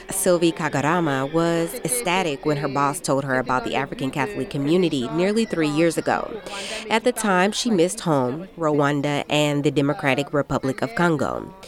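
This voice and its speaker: A woman radio reporter narrating a news feature in a measured, even delivery. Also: sits low, a crowd in the background.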